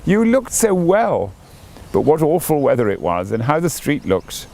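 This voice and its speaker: A man's voice: fairly high pitch, huge pitch swings, moderate pace, gravelly, darkish tone, heavily acted. A man reading the part of a fond, reminiscing old wife in a storybook, tender and nostalgic.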